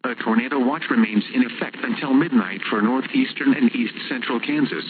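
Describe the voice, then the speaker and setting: A man's synthetic voice reading a formal emergency weather bulletin in a measured, impersonal way over the radio. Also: mid-range voice, crowd noise. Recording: noisy, narrow-band weather radio broadcast.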